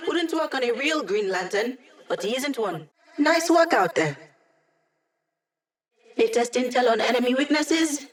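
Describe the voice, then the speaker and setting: A woman's voice: on the high side, lively intonation, unhurried, pauses often. An actress voicing a cocky, playful young female hacker who guides a squad in a video game, with a teasing, breezy manner. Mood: brisk, urgent. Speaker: hacker and mission support. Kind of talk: video game character voice lines.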